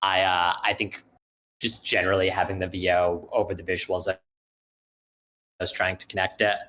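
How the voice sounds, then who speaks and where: Male, fairly low register, thoughtful critique in a group meeting, tentative delivery that loops back to rephrase. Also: flat delivery, normal speed, sharp tone, low-quality recording. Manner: hesitant, circling back to rephrase points. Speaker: participant giving script feedback. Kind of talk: spoken critique in an online group discussion.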